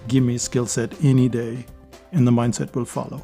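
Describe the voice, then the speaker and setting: A man with a mellow, mid-pitched voice talks through his podcast on his own. He speaks in an earnest, conversational way and repeats phrases for emphasis. Faint music plays underneath. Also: flat delivery, moderate pace, creaky. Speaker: podcast host. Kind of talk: solo podcast monologue.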